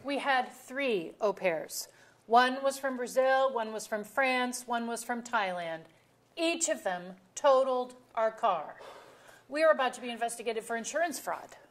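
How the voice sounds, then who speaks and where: A woman's voice: a little high, animated, a little slow. A woman playing a sardonic, blunt middle-aged wife and mother in a staged comedy reading, with a dry delivery. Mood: dryly amused. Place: auditorium stage.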